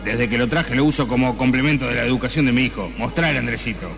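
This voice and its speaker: A man's voice: medium pitch, fast, vocal fry, fairly bright tone, faint music behind. A man with an Argentine accent playing a callous, haughty colonial slave owner in a comedic radio play, in long reverb. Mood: smugly cruel. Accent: Argentine Rioplatense.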